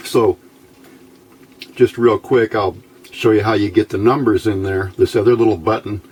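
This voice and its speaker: A man's voice: fairly low pitch, steady tone, moderate pace, darkish tone, husky, rough recording. A man giving a casual hands-on demonstration as an electronics hobbyist, talking in a folksy, informal, rambling way.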